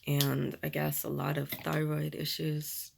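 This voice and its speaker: A middle-aged woman with a raspy voice, chatting candidly while doing her makeup, in a slow, rambling manner. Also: deep voice, monotone, background noise. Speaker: beauty vlogger.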